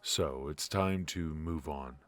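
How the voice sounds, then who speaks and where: A man playing a suave, ruthless mafia boss in a noir audio roleplay, confiding in a measured, intimate, smug way with hesitant pauses. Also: a bass voice, a bit bright, heavily acted. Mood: smug, teasing. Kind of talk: noir ASMR roleplay monologue.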